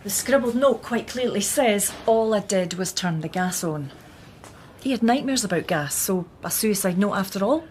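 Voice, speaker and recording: An actress playing an earnest, persistent junior detective, questioning insistently in a radio drama. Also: female voice, medium pitch, expressive, normal speed, a little mellow, a little hiss or hum, lightly acted.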